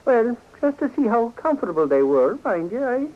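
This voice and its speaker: An actor playing a blustery, good-natured Irish investigator with a folksy way of talking, in 1940s radio style. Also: male voice, very high-pitched, very animated, normal speed. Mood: sheepish. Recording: dull lo-fi 1940s radio recording.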